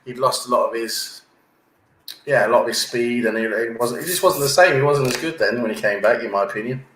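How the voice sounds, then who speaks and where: A man's voice: medium pitch, a little fast, fairly bright tone, slight background noise. A man from England, a panel guest in a casual chat, who keeps ending his points with tag questions. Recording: echoey room with faint ambience.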